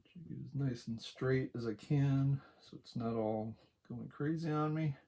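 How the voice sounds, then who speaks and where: Quiet man with a gravelly, creaky voice. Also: mid-range voice, a little hiss or hum. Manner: unhurried, talks along while working. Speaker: craft tutorial host. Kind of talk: casual hands-on sewing tutorial.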